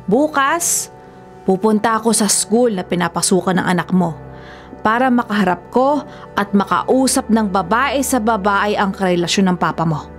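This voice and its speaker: An actress playing a domineering, jealous older ex-wife in a Filipino radio drama, scolding and accusing with scorn. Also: female voice, medium pitch, expressive, moderate pace, natural voice. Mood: stern, vengeful. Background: music.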